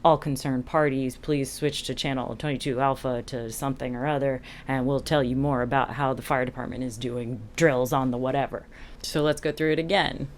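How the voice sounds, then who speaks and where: A woman talking casually as a boat captain and vlog host, explaining things candidly with self-deprecating, animated asides. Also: rumbling low, moderate pace, slight background noise.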